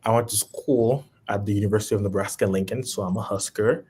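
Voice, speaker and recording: A man in his late twenties with a Haitian accent, a podcast guest chatting in a relaxed way with frequent ums. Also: on the low side, fast talker, breathy edge. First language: Haitian Creole. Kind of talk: casual chat.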